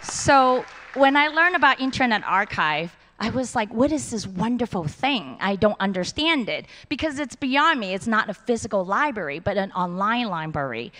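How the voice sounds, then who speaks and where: A woman's voice: a little high, animated, normal speed, few pauses, fairly bright tone, some chatter behind. A middle-aged woman, a city supervisor giving an earnest acceptance speech on a large auditorium stage into a handheld mic. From Hong Kong and Taiwan. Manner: earnest, simple phrasing. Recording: long hall reverb.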